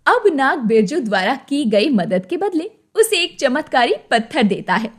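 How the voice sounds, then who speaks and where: A woman's voice: high-pitched, big pitch swings, measured pace. A woman working as a story narrator, reading a scripted moral tale.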